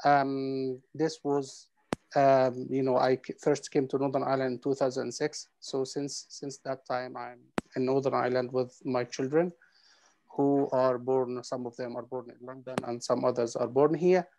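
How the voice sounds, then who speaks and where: A man's voice: medium pitch, level tone, normal speed, warm tone, poor audio. A man in his forties from Egypt, an imam, introducing himself hesitantly with many fillers on a video call from home. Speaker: imam and project coordinator. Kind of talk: panel self-introduction. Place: home room, indoors.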